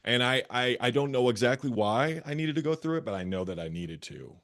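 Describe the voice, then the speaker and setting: A man with a bass voice, a therapist and guest, earnestly telling his own story and often repeating phrases as he searches for words. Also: animated, fairly quick, a bit bright. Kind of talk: candid personal storytelling.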